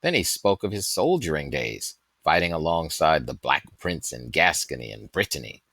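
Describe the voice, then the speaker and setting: Man, low-pitched, bright forceful tone, expressive storybook narration, deliberate dramatic phrasing, dry close sound. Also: no room sound. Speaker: audiobook reader.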